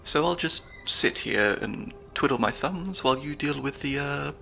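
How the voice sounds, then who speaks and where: A man's voice: a little high, expressive, moderate pace, gravelly, soft background music, exaggerated voice. A young man voicing a nerdy, slightly anxious stand-in spy handler with dry wit, in an ASMR audio roleplay. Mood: flustered. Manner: analytical, dry wit, soft-spoken.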